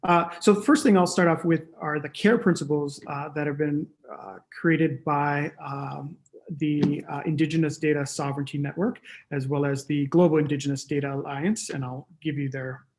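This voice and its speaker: A middle-aged man from Hawaii presents as an academic. His fairly high voice has a lot of creak, and he moves through his points haltingly on a video call. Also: fairly even intonation. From Oahu, Hawaii. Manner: hesitant, halting delivery. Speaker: economics and public policy professor. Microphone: video call microphone.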